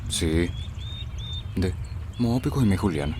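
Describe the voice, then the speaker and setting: A very low, earnest voice of a middle-aged Paraguayan farmer and family father , acted by a man. It is radio soap opera dialogue, with clear background noise of birds and open air. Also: expressive, takes it slowly, dark tone. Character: earnest father, farmer organizing fellow cane growers.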